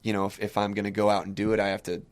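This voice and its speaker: Soft-spoken young man, low voice. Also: little pitch movement, very fast. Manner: soft-spoken, hesitant, many restarts and fillers. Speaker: musician guest. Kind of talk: casual interview chat.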